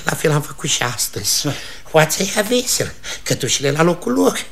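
Creaky, fretful old-time jailer. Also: male voice, fairly high pitch, big pitch swings, fairly bright tone, full character voice. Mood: fussy storytelling. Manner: lamenting, long-winded storytelling. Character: fretful, servile town-hall usher and jailer. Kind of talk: theatrical radio comedy acting.

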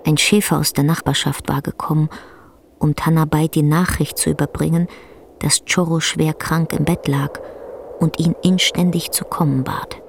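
A woman narrating a radio drama in a measured, hushed literary storytelling manner. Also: sits low, little pitch movement, creaky, slight background noise. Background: plucked guitar music.

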